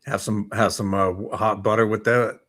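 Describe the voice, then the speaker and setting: Low-voiced American man in easygoing chat. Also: level tone, normal speed, a bit bright. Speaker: talk show co-host. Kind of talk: casual chat.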